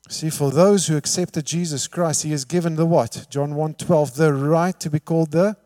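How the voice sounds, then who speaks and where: Guy preaching, kinda high voice, dry sound, lots of rhetorical questions, gets quiet then loud. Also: no echo. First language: Afrikaans. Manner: emphatic, rhetorical questions, swings from hushed to loud. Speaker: pastor. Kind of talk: sermon.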